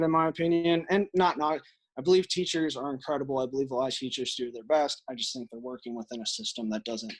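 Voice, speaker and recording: A man's voice: mid-range voice, fairly bright tone, breathy edge, poor audio. A man with an American accent giving earnest, explanatory answers as a podcast guest in a conversational interview. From Illinois, United States.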